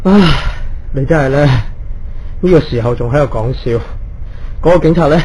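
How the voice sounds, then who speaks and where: An actor playing a tired, easily rattled emergency doctor in a ghost-story radio drama. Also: male voice, fairly high pitch, moderate pace, mellow, a little hiss or hum, lightly acted, poor audio. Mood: flustered. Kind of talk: Cantonese radio ghost drama, narration and dialogue.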